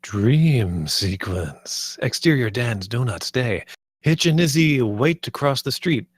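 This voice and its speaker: A man's voice: mid-range voice, lively intonation, slow, no echo, studio quality. A man reading stage directions as the narrator of a script table read.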